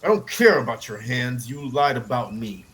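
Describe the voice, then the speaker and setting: A man's voice: medium pitch, expressive, moderate pace, fairly bright tone, a little hiss or hum, lightly acted, rough recording. A man voicing a blunt, hot-tempered young knight in an audiobook. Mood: furious. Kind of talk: audiobook character acting.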